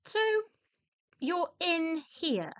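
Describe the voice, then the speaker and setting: A woman's voice: very high-pitched, big pitch swings, very slow, a bit bright, no echo, exaggerated voice. An actress playing a down-to-earth, sceptical widow in a radio play, dryly deflating her scientist husband in a matter-of-fact manner. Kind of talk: radio play dialogue acting.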